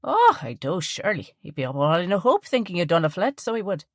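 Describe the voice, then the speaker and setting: A woman voicing a warm, chatty older village shopkeeper woman with a Northern Irish accent and homely, folksy phrasing. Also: on the low side, sing-song, fast talker, no room sound, studio quality. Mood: fussing, homely.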